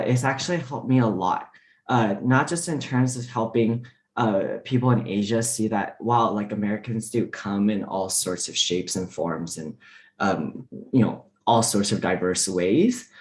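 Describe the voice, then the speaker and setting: A man whose first language is Mandarin, speaking as a diplomat on a panel discussion, relaxed and full of fillers. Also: on the low side. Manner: relaxed, many fillers and restarts.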